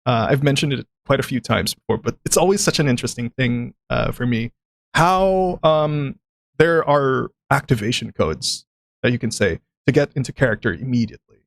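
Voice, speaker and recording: A man's voice: mid-range voice, expressive, normal speed, gravelly. A man with a Filipino accent, speaking English as a second language, chatting casually about voice acting on a stream and often breaking into laughter. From Philippines. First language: other than English. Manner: rambling, laughs often, many restarts.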